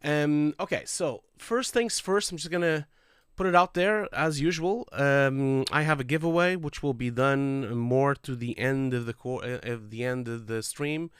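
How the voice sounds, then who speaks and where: A man's voice: mid-range voice, unhurried, fairly bright tone, smooth, no room sound. A man hosting a livestreamed call-in show in casual, upbeat chat with viewers, nasal and congested from a cold, his breathing audible on a close microphone. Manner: chatty and upbeat, addressing viewers directly. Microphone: close streaming microphone.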